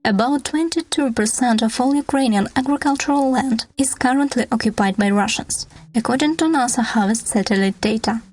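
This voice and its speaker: Woman narrator with a slightly high voice. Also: darkish tone, poor audio. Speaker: podcast narrator. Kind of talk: scripted documentary narration.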